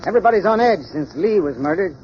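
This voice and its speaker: An actor playing a casual, slightly uneasy hospital staffer, musing colloquially in a 1930s radio drama. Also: male voice, sits high, lively intonation, fast talker. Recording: muffled 1930s radio, faint noise.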